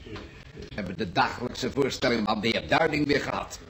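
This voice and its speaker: An actor playing a pedantic hospital patient in a radio play, lecturing and needling with sarcasm. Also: male voice, medium pitch, animated, normal speed, a bit bright, slight background noise, lightly acted. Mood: mocking. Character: scornful, pedantic long-term male hospital patient, needling others. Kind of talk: radio drama acting.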